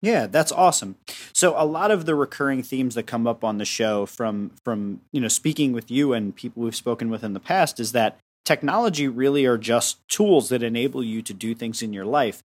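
A man's voice: medium pitch, normal speed. A young adult man hosting a podcast, summing up his guest's points in long winding sentences before asking questions. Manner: long, summarizing questions with restarts. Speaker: podcast host. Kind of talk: casual interview chat.

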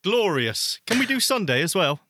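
A man with a bright, fairly high voice trades playful jokes as a podcast co-host. His pitch leaps around expressively. The recording is dry and close. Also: sing-song, moderate pace, a little hiss or hum. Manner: playful, jokey. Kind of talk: casual podcast chat.

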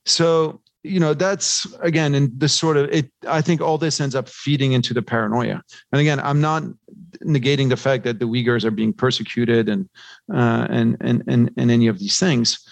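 A man's voice: mid-range voice, steady tone, normal speed, gravelly, dry recording. A man giving conversational financial commentary as an interview guest, talking discursively and posing rhetorical questions. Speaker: podcast guest, investment research analyst.

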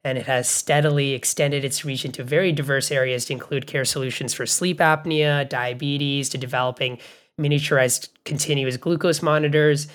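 Man from Bangladesh, podcast co-host, measured and reflective, mid-pitched voice. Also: steady tone, a bit bright, clean tone. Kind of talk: conversational interview.

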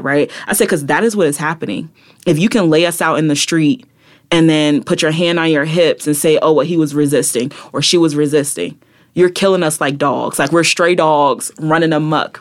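A young adult woman, a poet and activist, talking passionately as a podcast guest, with frequent "like" and "right?" asides. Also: sits low. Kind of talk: reflective casual interview talk.

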